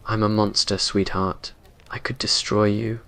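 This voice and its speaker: Man acting ancient vampire lover, brooding, tormented, low rough mutter, restrained intonation, romance roleplay. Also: little pitch movement, measured pace, bright, forceful tone, full character voice. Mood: tormented warning. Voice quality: ragged, rough. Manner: muttering, intense.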